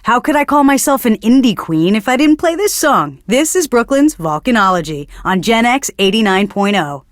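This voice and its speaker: An actress playing a young, earnest and smugly pretentious hipster DJ in a video game, talking between songs. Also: female voice, on the high side, sing-song, moderate pace, hardly any pauses, dry recording, studio quality. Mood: dreamy, enthusiastic. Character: pretentious young hipster indie radio DJ woman, earnest and smug. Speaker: radio DJ. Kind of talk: radio DJ patter between songs.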